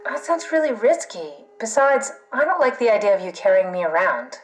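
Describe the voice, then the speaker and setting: A woman's voice: medium pitch, big pitch swings, fairly quick, soft background music, close to an everyday voice, poor audio. An actress playing a wry, pragmatic woman scientist in a video game, with a matter-of-fact manner. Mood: hesitant.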